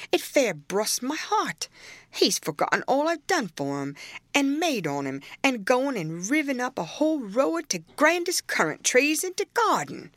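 A woman acts out an old Yorkshire manservant from a storybook. He is plaintive and indignant, his voice pitched up into a wail as he nurses his grievance. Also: dry recording. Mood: bitter, lamenting. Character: aggrieved, lamenting old male Yorkshire servant.

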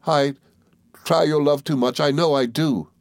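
A man's voice: mid-range voice, fairly even intonation, brisk, dry recording, pitched above the performer's own voice, close to an everyday voice. A man voicing a tearful young woman in a storybook, agonised, repetitive and full of self-reproach as she pleads. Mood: guilty anguish.

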